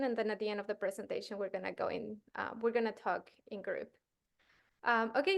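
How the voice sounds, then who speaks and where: A woman in her thirties from Ecuador, a postdoctoral researcher giving a casual science workshop to teachers with frequent fillers and restarts. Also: fairly high pitch. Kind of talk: workshop presentation.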